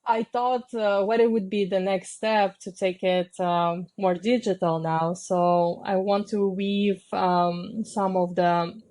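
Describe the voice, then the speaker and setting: Slow, mellow woman. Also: mid-range voice, flat delivery. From Kazakhstan. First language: Kazakh. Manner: halting, many fillers and pauses. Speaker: guest, sound healer and musician. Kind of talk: reflective interview answers.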